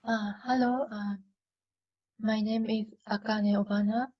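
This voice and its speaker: Woman from japan, slow halting intro, breathy, muffled call sound. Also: mid-range voice, flat delivery. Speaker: composition student. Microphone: video call.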